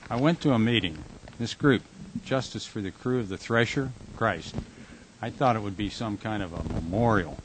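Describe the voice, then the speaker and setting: An actor playing a tormented, haunted man in a radio drama, speaking in a brooding, halting, intense way. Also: fairly low pitch, close to an everyday voice, low-quality recording. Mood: troubled, searching. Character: Jack, a tormented man haunted by falling dreams. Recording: hissy amateur recording.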